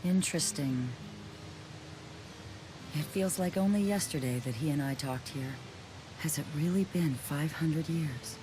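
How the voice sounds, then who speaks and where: A woman's voice: deep voice, unhurried, long pauses, background noise. A woman voicing a proud, aloof ancient ice spirit in a video game, speaking in a cool, formal and curt way. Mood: nostalgic. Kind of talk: video game cutscene voice acting. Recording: music under the voice.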